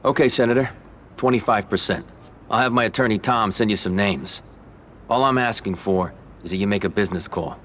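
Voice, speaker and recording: An actor playing an ambitious, calculating American mobster in a video game, speaking in a measured, polite, persuasive way. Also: male voice, lowish voice, a bit bright, a little hiss or hum, close to an everyday voice. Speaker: mobster character in a crime game. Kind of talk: dramatic video game cutscene acting.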